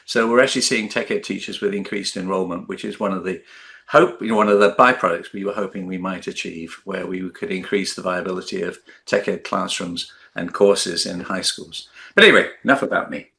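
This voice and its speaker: A man's voice: deep voice, steady tone, a little fast, creaky, sharp tone. An older man in his seventies, a retired teacher, introducing himself on a video call, joking and rambling with many fillers.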